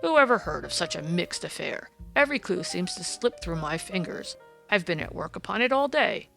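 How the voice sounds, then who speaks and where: A woman voicing a gruff, irritable policeman in an audiobook, snarling and emphatic with indignation. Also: lowish voice, animated, normal speed, a bit bright, faint music behind, low-quality recording. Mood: disgruntled. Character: gruff, irritable, blustering male police detective.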